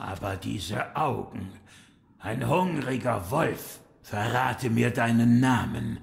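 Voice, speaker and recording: A scornful, menacing killer in an audio drama. He speaks extremely slowly in a gravelly voice, taunting his listener. A long reverb trails every phrase. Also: medium pitch, a bit bright, full character voice. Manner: drawn-out, taunting delivery. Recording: long reverberant hall echo.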